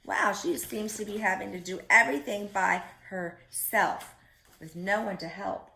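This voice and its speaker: Slow-paced woman reading to small children. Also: mid-range voice, vocal fry, bright, forceful tone. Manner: slow and deliberate, pausing to put questions to young listeners. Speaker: children's storyteller. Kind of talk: storybook read-aloud to young children.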